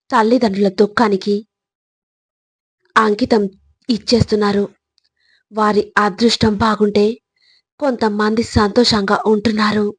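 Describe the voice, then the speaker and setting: Female motivational narrator, husky, level delivery, earnest and deliberate phrasing, dry sound. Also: medium pitch, dry recording. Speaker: motivational speaker.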